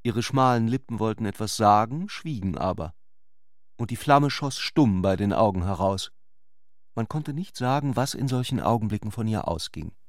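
A man's voice: lowish voice, expressive, normal speed, no echo, studio quality. A man narrating a literary radio drama.

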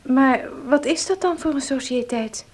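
An actress playing a concerned, sincere young pastor's wife who asks probing questions in a radio play. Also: female voice, high-pitched, unhurried. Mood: probing, worried. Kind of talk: Dutch radio play acting.

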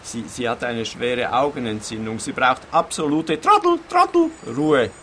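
A man playing a flustered middle-aged father in a comic radio play, halting and blurting out insults mid-sentence. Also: medium pitch, big pitch swings, a bit bright, slight background noise. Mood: flustered, erratic.

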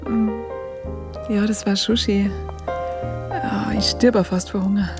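An actress playing a shy but spirited young photography student with a Bavarian accent, hesitant and colloquial, in a radio drama. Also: female voice, mid-range voice, steady tone, measured pace, music in the background, close to an everyday voice. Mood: curious, amused. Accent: southern German, Bavarian. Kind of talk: naturalistic radio drama dialogue.

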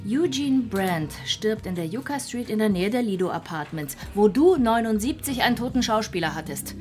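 An actress playing a brisk, take-charge woman in a crime radio drama, direct and businesslike, with music behind. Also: medium pitch, expressive, darkish tone, close to an everyday voice. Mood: focused.

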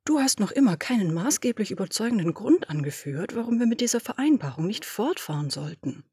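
A woman voicing an imperious, domineering female boss who talks in brisk, commanding, dismissive orders. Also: medium pitch, very animated, mellow, husky, no echo, clean studio sound. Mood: impatient, imperious. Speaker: podfic reader. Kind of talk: German audio drama reading.

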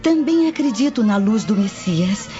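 A woman's voice: medium pitch, animated, moderate pace, dark tone, soft background music, natural voice. An actress with a Brazilian accent playing a fearful, respectful young slave girl in a radio drama set in ancient Rome. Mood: anxious. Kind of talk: dubbed radio drama acting. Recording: dull lo-fi audio.

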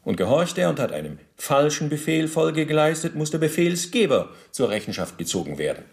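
An actor playing an evasive, indignant former SS officer under interrogation in a radio play, halting, emphatic and formally deferential as he justifies himself. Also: a little high, sing-song, creaky. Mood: insistent. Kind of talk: docudrama radio play dialogue.

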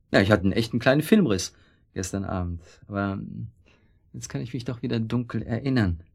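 An actor in a German radio drama playing an evasive, husky-voiced husband who makes excuses to his suspicious wife. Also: lowish voice, moderate pace. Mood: sheepish.

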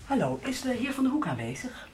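An actress playing a sharp, probing farmer's wife with a teasing manner in a radio soap. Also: female voice, fairly high pitch, very animated, brisk, background noise. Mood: polite. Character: sharp, prying farmer's wife visiting her brother-in-law.

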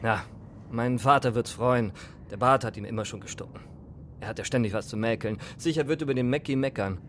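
A man's voice: medium pitch, a little fast, a little hiss or hum, close to an everyday voice. A man playing an imperious, vain and menacing businessman in a radio drama, with a clipped, brooding manner. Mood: sour. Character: imperious, vain, violent businessman giving covert orders. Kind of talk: German radio drama acting.

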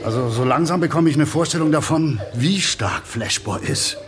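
A man's voice: on the high side, moderate pace, close to an everyday voice. A man playing a patient, wry schoolteacher in a children's radio play, speaking with dry hesitation.

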